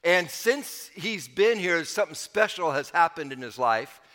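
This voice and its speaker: A man's voice: on the high side, sing-song, unhurried, sharp tone, long reverb tail. An older man, the church's pastor and podcast host, welcoming listeners and rambling through an introduction of the guest speaker.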